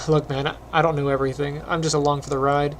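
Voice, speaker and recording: A middle-aged man who teaches animation, chatting casually while he works, with a phlegmy voice, frequent throat clearing and lots of ums. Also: on the high side, brisk, a little hiss or hum. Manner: relaxed, rambling, many ums. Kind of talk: casual screen-recorded tutorial chat.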